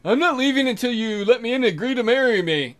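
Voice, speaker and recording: Man doing a loud, pushy wolf suitor, swooping pitch. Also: expressive, quick pace. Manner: insistent, blustering. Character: pushy, lecherous young male wolf suitor, cocky and whiny.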